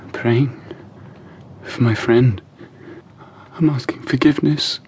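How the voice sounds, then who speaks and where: An actor playing an anguished, guilt-ridden man in a radio drama, husky and halting, with a fervent, pleading manner. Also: mid-range voice, steady tone, darkish tone, a little hiss or hum, close to an everyday voice. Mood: guilt-stricken.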